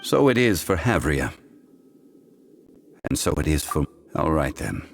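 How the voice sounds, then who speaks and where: An actor playing a composed, solemn ancient god in mortal guise in a video game, speaking in measured, formal, reflective phrases. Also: male voice, a bass voice, very animated, vocal fry, slight background noise, heavily acted. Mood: measured, firm. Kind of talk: scripted video game dialogue. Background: background music.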